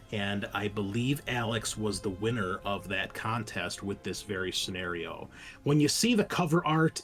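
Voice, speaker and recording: A man's voice: fairly low pitch, a bit bright. A man hosting a show, giving a chatty, informal introduction in a casual style. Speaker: podcast host.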